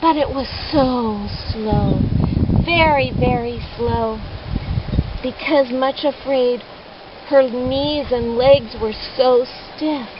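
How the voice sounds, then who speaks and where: A woman's voice: high-pitched, slow. A woman storyteller narrating a children's allegory in simple, dramatic read-aloud phrasing. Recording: muffled, noisy amateur recording.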